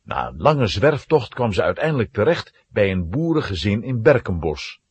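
A male narrator tells a children's story with dramatic delivery. His low voice is very husky and moves expressively, recorded dry and close. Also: big pitch swings, moderate pace, dry recording.

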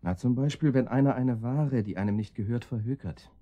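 A man's voice: mid-range voice, fairly quick, dull audio, close to an everyday voice. A man playing a menacing, sardonic henchman in a radio drama, questioning in clipped, mocking phrases. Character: menacing male henchman, sardonic, coolly interrogating. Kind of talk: German radio drama acting.

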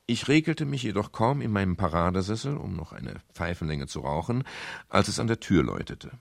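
A restrained, gentlemanly detective in a radio crime play. His middle-aged voice sits very deep and has a gravelly grain, with formal, measured phrasing. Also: very animated, full character voice. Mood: measured, reflective. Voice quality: gravelly, husky. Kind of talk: German radio crime drama narration and dialogue.

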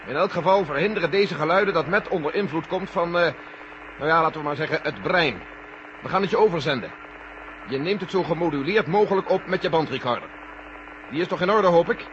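An actor playing an authoritative general running a space mission in a vintage science-fiction radio drama, speaking deliberately. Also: male voice, a little high, lively intonation, natural voice. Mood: commanding, urgent. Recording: noisy, dull old radio recording.

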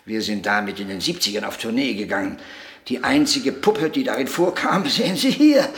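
An actor playing an eccentric, boastful, cantankerous old avant-garde puppeteer in a radio drama, lecturing grandly and theatrically. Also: male voice, medium pitch, very animated, normal speed, a little hiss or hum. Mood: proud, reminiscing. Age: elderly. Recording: echoey room.

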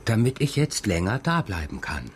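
A man's voice: fairly low pitch, big pitch swings, normal speed, slight background noise. A man playing an affectionate, evasive father in a children's radio play. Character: affectionate, evasive father just back home.

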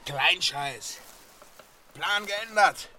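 A man's voice: high-pitched, extremely slow, bright, forceful tone, a little hiss or hum, full character voice. A man playing a lazy, blustering robber chieftain in a German radio play, barking orders and grumbling. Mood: sleepy, grumbling. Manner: barks orders, then grumbles.

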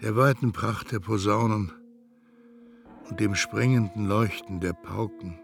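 Slow, solemn, doomed fat man. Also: fairly low pitch, vocal fry, faint music behind. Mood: solemn lament. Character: doomed fat man, solemnly resigned, recounting his strange past. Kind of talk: literary radio drama monologue.

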